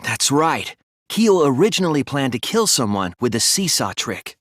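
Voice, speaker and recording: Young student detective, earnest, analytical, slightly breathy husky tone, expressive swings in pitch, deliberate explaining, video game dialogue. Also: male voice, no room sound, clean studio sound. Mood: measured reasoning. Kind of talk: scripted video game voice acting, deduction speeches.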